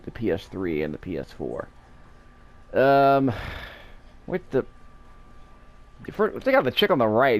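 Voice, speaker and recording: Man doing animated, rambling game commentary. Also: mid-range voice. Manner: rambling, digressive, with asides. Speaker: game video host. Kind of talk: casual gameplay commentary. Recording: game music behind. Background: video game music.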